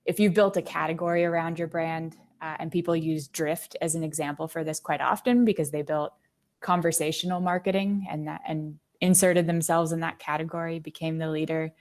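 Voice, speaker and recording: A woman with a fairly low voice. She speaks as an interview guest, articulately laying out explanations with concrete examples in a conversational way. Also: fairly even intonation, fairly quick, darkish tone. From United States. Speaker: marketing executive, podcast guest.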